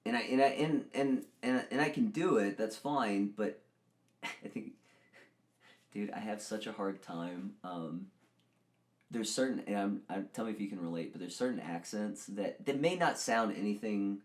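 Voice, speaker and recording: A young man from Texas with a somewhat low voice talks in a loose, rambling way. He restarts his sentences often and mocks himself while he chats. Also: moderate pace. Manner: rambling, self-mocking, lots of restarts. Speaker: podcast co-host and actor. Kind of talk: casual chat.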